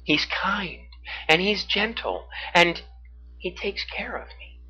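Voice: mid-range voice, sing-song, a little slow, muffled recording, pitched above the performer's own voice. A narrator voicing a shy, tender little girl in a confiding storybook manner. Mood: giddy, adoring. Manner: confiding, girlish. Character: shy, tender little girl who adores her daddy.

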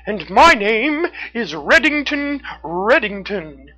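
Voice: mid-range voice, sing-song, takes it slowly, sharp tone, voice pushed up, full character voice. A narrator voicing a proud storybook raccoon who speaks in an eager, direct and emphatic way. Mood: insistent.

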